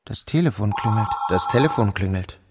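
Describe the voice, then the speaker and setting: A man reading a literary story aloud as a first-person narrator, with a measured and even delivery. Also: on the low side, very noisy. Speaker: audiobook narrator. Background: music.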